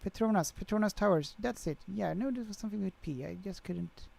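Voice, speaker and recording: Swedish guy streaming a flight sim, chatty, lots of uhs, really gravelly voice, mellow tone. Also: sits high, a little fast. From Sweden. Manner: chatty, reads out chat comments, hesitant with many fillers. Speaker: livestreaming flight sim host. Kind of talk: casual livestream chat.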